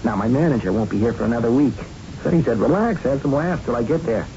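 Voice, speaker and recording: A man plays an eager, cocky young fighter in an old radio drama. He talks fast in a mellow, slightly gravelly voice, casual and streetwise, on a muffled recording. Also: medium pitch, soft background music, lightly acted. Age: young adult. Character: cocky, eager young male boxer Bobby Barlow. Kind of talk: 1970s radio drama acting.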